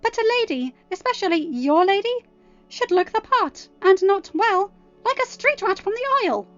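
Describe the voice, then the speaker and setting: A woman plays a snooty female handler from a fantasy audio story, high and huffy. She speaks with prim condescension, like one used to scolding. The audio is a little dull, with music behind it. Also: lively intonation, normal speed, no room sound. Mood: huffy, defensive.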